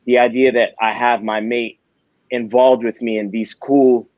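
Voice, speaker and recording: A man chats as a guest in a relaxed, candid way, dropping casual slang. His voice sits mid-pitch, and the recording is dry and a little dull. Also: measured pace, no room sound. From New York City. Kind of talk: casual interview chat.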